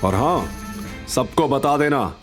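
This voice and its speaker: Male actor as wronged rich husband, proud, commanding, deliberate, mid-pitched, audio drama, background music. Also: lively intonation. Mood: proud, resolute. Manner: low-key, commanding, deliberate. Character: proud, composed wronged husband, a secret tycoon.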